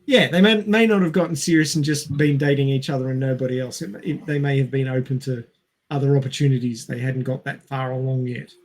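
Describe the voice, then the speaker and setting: A man's voice: medium pitch, fairly even intonation, a little fast. A man co-hosting a podcast, discussing films casually and reasoning through his points in an explanatory way. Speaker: podcast co-host.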